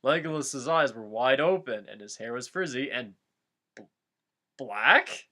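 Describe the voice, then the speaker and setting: A man's voice: mid-range voice, lively intonation, unhurried, bright, forceful tone. A man doing a comic dramatic reading of fan fiction, amused and breaking off to chat about the text. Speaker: reader and co-host.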